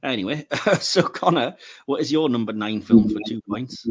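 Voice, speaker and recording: A man's voice: mid-range voice, animated, normal speed, breathy edge. A man hosting a podcast in a casual, chatty panel style. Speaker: podcast host.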